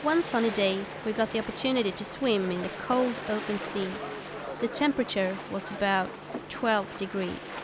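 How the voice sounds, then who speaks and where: A woman giving calm, scripted narration for a documentary. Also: medium pitch, moderate pace, noisy background.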